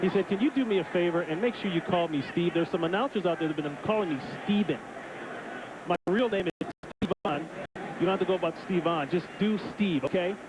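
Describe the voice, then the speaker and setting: Male play-by-play caller, high voice, booth mic over a roaring stadium crowd. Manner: brisk, calls plays as they happen. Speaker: play-by-play announcer. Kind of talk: live football play-by-play. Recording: broadcast booth over loud crowd noise.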